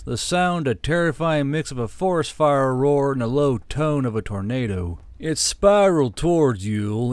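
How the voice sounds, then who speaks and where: Guy narrating a fantasy war audiobook, mid pitched voice, close mic, really dry sound. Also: darkish tone, no echo.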